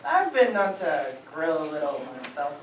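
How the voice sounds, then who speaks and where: A woman playing an awkward, slightly wounded male neighbor with halting delivery in a comic play reading. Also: low-pitched, big pitch swings. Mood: puzzled. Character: David, awkward wounded neighbor man, out of place. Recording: echoey, distant mic, audience noise.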